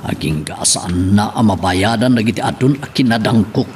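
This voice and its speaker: A man's voice: lowish voice, moderate pace, close to an everyday voice. A man with an Ilocano accent playing an intense, bitter man with a grudge in a radio drama.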